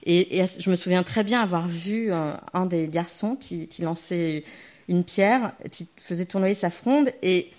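A woman's voice: on the low side, a little slow, a little mellow. Hesitant, thoughtful French-born woman. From France. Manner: hesitant, thoughtful, frequent fillers and restarts. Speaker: speaker at a gathering. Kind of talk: reflective personal testimony.

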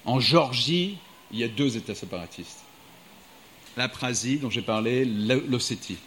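A man's voice: mid-range voice, expressive, normal speed, fairly bright tone, slight background noise. A middle-aged man from the United States, a diplomat and writer, speaking thoughtfully to a live audience in an evening talk. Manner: reflective, thinking aloud.

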